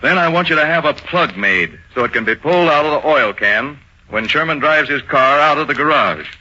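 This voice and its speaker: An actor playing a stern, shrewd police chief with a terse, authoritative manner, in a vintage radio crime drama. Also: male voice, on the high side, expressive, a little fast, pushed and bright, full character voice. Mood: scheming. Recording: muffled old-time radio recording.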